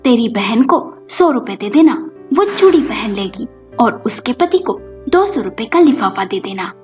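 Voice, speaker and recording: A woman's voice: on the high side, sing-song, unhurried, darkish tone. A woman voicing a stingy, domineering older mother-in-law in a family story, scolding and bossy. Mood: bossy, dismissive. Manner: scolding, commanding. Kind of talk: dramatized Hindi family storytelling. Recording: dull lo-fi audio with music behind.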